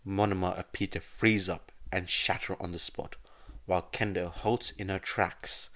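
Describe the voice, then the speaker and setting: A man's voice: sits low, steady tone, moderate pace, fairly bright tone. A man reading scripted fiction as the narrator of an audio story.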